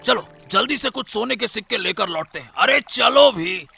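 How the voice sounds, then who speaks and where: A shrill, creaky, greedy young boatman. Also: normal speed, full character voice. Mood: impatient, eager. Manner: impatient, flattering, exclaiming. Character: greedy, gleeful young boatman fawning on a minister. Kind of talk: animated Hindi cartoon story acting. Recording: music under the voice.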